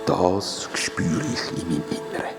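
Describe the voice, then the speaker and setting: A man's voice: deep voice, fairly even intonation, unhurried, vocal fry, over music. A man with a Swiss German accent playing a kindly, solemn guide spirit in a children's radio play, speaking soothingly and ceremoniously. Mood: solemn, consoling.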